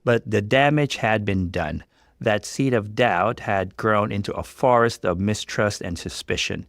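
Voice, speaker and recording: A man's voice: fairly low pitch, measured pace, fairly bright tone, no room sound, clean studio sound. A man narrating a scripted first-person story in a dramatic reading style.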